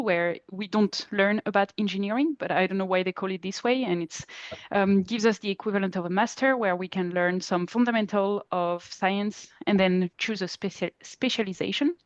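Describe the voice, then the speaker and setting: A woman's voice: medium pitch, no room sound. French-accented woman on a video call. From France. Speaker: guest speaker, statistical scientist. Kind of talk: webinar self-introduction. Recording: slightly dull lo-fi call audio.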